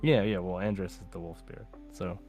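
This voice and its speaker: A man hosting a podcast quiz in casual banter, slowing down deliberately for questions and options. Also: sits low, darkish tone, soft background music. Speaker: podcast host and quiz master.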